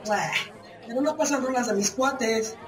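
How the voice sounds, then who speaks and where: A laid-back, slangy young Mexican woman, acted by a woman in a radio soap. Her voice is fairly high. She sounds echoey and a bit distant, with chatter behind. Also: measured pace, natural voice. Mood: breezy. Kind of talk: radio soap opera acting.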